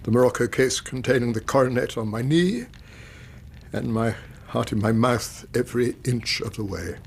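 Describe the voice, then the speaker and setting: Anxious English banker, creaky-voiced. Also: male voice, mid-range voice. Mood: nervous. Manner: formal, halting, agitated storytelling. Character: anxious, grieving middle-aged widowed banker and doting father. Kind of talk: radio drama acting.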